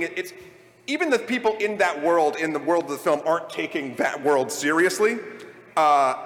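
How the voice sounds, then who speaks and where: Male panel host, slightly high voice, opinionated and discursive, recorded live in a reverberant hall. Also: a bit bright. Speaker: panel host leading a discussion of video game movies. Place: large room at a convention.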